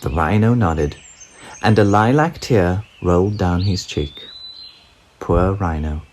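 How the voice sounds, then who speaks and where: Slow, very animated children's storybook narrator. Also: deep voice, a little hiss or hum. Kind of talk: expressive children's read-aloud narration.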